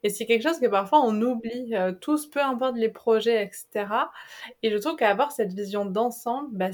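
A woman hosting a podcast interview, chatting casually and enthusiastically with her guest. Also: mid-range voice. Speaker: podcast host.

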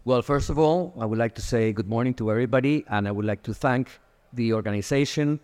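A man speaking as a panelist at a conference, explaining figures in a measured way with frequent fillers. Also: mid-range voice, darkish tone, clear, resonant tone. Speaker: airline association panelist. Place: conference hall. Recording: reverberant conference hall.

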